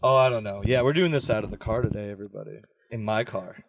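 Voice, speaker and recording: A fairly low-voiced man hosts a loose, joking podcast from the seat of a parked car. He swears freely and cuts in over his guests. The sound is dry and close with no room around it. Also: fast talker, creaky, no echo. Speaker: podcast host. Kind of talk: casual chat.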